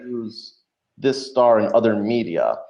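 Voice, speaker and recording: A man's voice: fairly low pitch, sing-song, a little slow, gravelly, dark tone, highs cut off. A man telling stories casually as a guest in a podcast interview over an online call. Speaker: podcast guest, audio drama creator.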